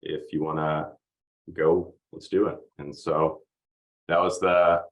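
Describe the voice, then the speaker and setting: A man's voice: very deep voice, flat delivery, slow pace. A young man from Oregon, a podcast guest chatting casually with many fillers. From United States, Oregon. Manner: easygoing, hesitant with many fillers. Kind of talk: casual interview chat.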